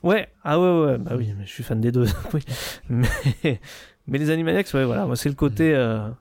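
A man's voice: medium pitch, lively intonation, moderate pace, creaky, darkish tone, no echo, studio quality. A man chatting casually and enthusiastically as a podcast guest, often repeating his words as he goes. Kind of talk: casual enthusiastic chat about cartoons.

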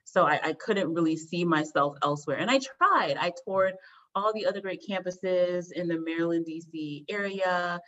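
A woman from the Washington DC area, a guest expert speaking in a measured, explanatory way. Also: lowish voice, fairly bright tone, highs cut off. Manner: measured, explanatory, some fillers. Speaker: guest expert on student mental health. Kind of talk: interview answers.

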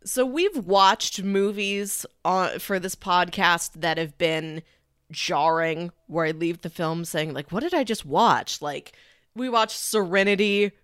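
A woman's voice: lowish voice, takes it slowly, a bit bright, clean tone, no room sound, clean studio sound. A woman co-hosting a podcast, chatting casually about movies. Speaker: podcast co-host. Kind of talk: casual movie discussion chat.

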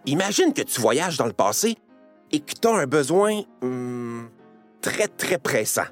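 A man's voice: medium pitch, very animated, measured pace, faint music behind. A man narrating a scripted educational piece for children, playfully addressing the listener.

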